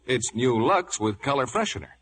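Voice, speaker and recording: A man announcing in 1950s radio advertising style, with a polished, emphatic sales pitch. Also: very animated, normal speed, fairly bright tone, breathy edge.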